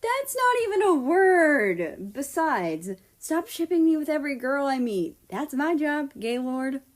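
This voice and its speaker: A woman's voice: sits high, big pitch swings, measured pace, voice pushed up. A woman voicing a small, melodramatic, easily embarrassed cat-like girl who squeals indignantly in a story.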